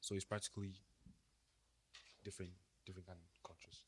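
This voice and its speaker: A young man from Nigeria giving slow, measured, brief answers in a casual youth discussion. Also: deep voice, little pitch movement. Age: young adult. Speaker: youth podcast panelist. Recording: faint background noise.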